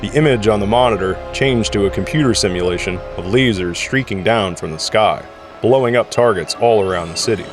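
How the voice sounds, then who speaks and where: A man's voice: lowish voice, brisk, over music. A man reading scripted story narration for an audio drama. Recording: faint ambient noise behind.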